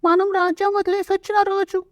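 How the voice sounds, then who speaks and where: A woman's voice: very high voice, level tone, fairly quick, no room sound, clean studio sound. A woman voicing a stern, exasperated queen mother who nags and scolds in a parody cartoon. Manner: nagging, stern. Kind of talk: Telugu parody cartoon dubbing.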